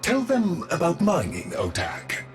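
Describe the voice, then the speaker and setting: An actor playing a fussy, polite machine butler in a game, prim, precise and patronising. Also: male voice, on the high side, wildly swooping pitch, a little slow, soft background music. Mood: prim, apologetic. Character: fussy, polite male robotic butler intelligence. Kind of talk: video game character acting.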